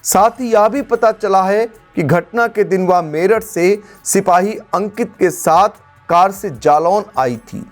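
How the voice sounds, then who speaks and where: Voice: mid-range voice, takes it slowly. A news narrator reading a scripted crime report in a dramatic, emphatic manner. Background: background music.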